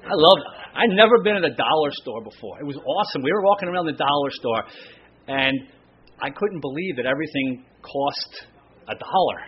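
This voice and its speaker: Chatty loud-voiced middle-aged male pastor. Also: a little high, lively intonation, lo-fi sound, a little hiss or hum. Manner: chatty, self-deprecating storyteller, slows down for scripture reading. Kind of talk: sermon with casual anecdotes. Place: church sanctuary. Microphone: stand microphone, stays close.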